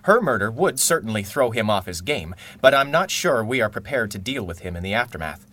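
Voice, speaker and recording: An urbane male villain of 1930s radio drama, with swooping intonation and a bright, sharp edge. He is wry, wordy and coldly calculating, savouring each theatrical phrase. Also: on the low side, very animated, a little fast, heavily acted. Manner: wordy, pompous, dryly theatrical.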